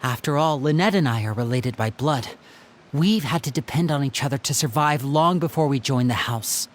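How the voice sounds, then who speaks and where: A man's voice: mid-range voice, animated, moderate pace, slight background noise, close to an everyday voice. A man playing an earnest, protective young older brother in a dramatic game scene, articulate and courteous. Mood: tender, sincere.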